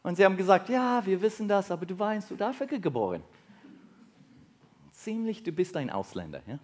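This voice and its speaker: A man from South Africa whose first language is English, with a South African accent, preaching a sermon in halting, non-native German and putting questions to his listeners. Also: high-pitched, very animated, darkish tone. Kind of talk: sermon to a congregation.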